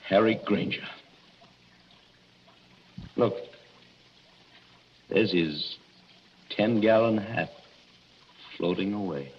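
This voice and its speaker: An actor playing a protective young husband in 1940s radio drama, urgent and clipped, on a muffled, noisy old recording. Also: male voice, fairly low pitch, very slow, pauses often. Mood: tense, grim. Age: young adult.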